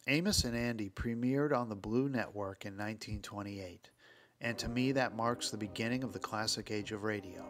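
A man narrating a scripted documentary podcast in a measured way, with dramatic pauses. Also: fairly low pitch. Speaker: podcast host. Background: music.

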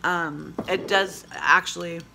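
A hoarse woman in her forties chatting casually in a home vlog, reading product labels aloud and rambling with fillers. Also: lowish voice, fairly even intonation, slow pace, noisy background. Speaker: vlogger. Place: small indoor room at home. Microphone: phone camera at arm's length.

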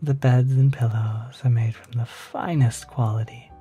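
A breathy, polite demon receptionist acted by a performer in an ASMR roleplay, welcoming a guest with soft salesmanlike reassurance. The delivery is slow and mellow, faintly insidious beneath the courtesy, with music underneath. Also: medium pitch, steady tone, lightly acted. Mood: smooth, inviting.

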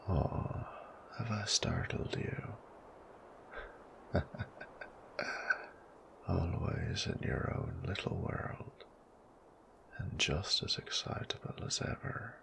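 A man voicing a sly ancient male vampire who teases and threatens in a slow, silky, creaky whisper for an ASMR audio roleplay. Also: low voice, wildly swooping pitch, a bit bright, exaggerated voice, rough recording. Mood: teasing.

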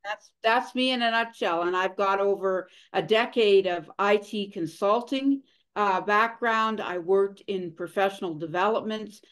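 A middle-aged woman, a consultant, answering interview questions in a measured, earnest way over a video call. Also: medium pitch. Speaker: guest, consultant.

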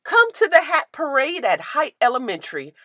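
Woman doing a hopeful little schoolgirl for a storybook, earnest and eager, bouncy pitch. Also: huge pitch swings, moderate pace, sharp tone, no echo, studio quality, heavily acted. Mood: excited. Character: eager, earnest young schoolgirl who loves hats. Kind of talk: storybook character voice.

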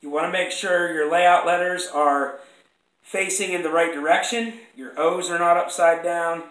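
Hoarse male shop instructor, 30s, rambling demo talk, bright edge, roomy echoey workshop sound. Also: a little high, fairly even intonation, normal speed. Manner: rambling, self-correcting, apologetic asides. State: hoarse from a cold. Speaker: woodworking instructor. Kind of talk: casual workshop demonstration. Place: indoor woodworking shop. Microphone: fixed camera across the workbench.

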